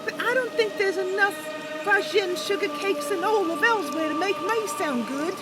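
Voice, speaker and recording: A woman's voice: extremely high pitch, normal speed, very noisy. A woman voicing a chatty, playful young female wood elf adventurer in a fantasy game roleplay. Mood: earnest, playful. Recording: loud music behind the voice.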